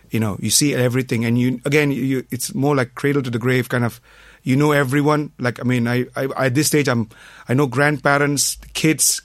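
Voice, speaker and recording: A man's voice: mid-range voice, dry recording, studio quality. A middle-aged man from Malaysia, a doctor speaking hesitantly and modestly as a guest in a casual radio interview.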